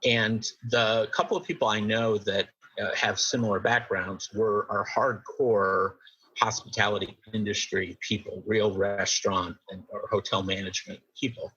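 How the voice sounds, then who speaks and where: A man interviewing a guest on the radio, rephrasing his questions haltingly with fillers. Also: lowish voice, fairly even intonation, bright, forceful tone, breathy edge, dull audio.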